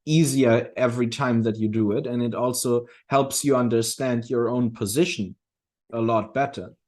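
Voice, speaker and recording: A man with a mid-pitched voice talks in a casual, conversational way. He is reflective and halting, often doubling back to restart a phrase before he finishes the thought. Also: steady tone, darkish tone. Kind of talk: casual discussion.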